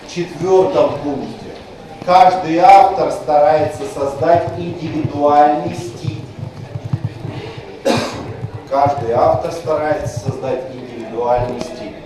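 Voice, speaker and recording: A man lecturing from a distance in a very echoey hall, with clear noise behind him. He repeats key terms slowly and breaks into chatty asides. His voice sits slightly higher than most men's. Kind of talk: university lecture. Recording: far-off mic in an echoey lecture room with noise.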